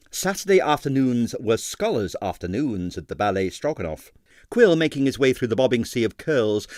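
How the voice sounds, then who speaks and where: A man reading a comic novel aloud as an expressive audiobook narrator. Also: mid-range voice, dry recording. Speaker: audiobook reader. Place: dry recording space.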